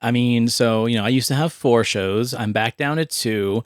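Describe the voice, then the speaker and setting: A man talks as a podcast host in a casual, explanatory style. His voice sits at an ordinary male pitch and is recorded very dry, with little room around it. The delivery is chatty and conversational. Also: moderate pace, no echo.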